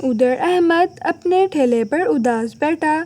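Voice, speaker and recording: A woman's voice: high voice, expressive, slow, a little mellow. A woman narrating a scripted cartoon moral story for the listener.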